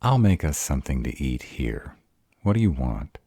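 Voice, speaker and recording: A man's voice: extremely low pitch, sing-song, normal speed, dark tone, slightly rough, dry recording, clean studio sound, exaggerated voice. A man playing a grumpy, insecure were-dragon in a romantic roleplay audio drama, hesitant and defensive as he courts his mate. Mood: defensive, sulky. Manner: hesitant, defensive, self-doubting.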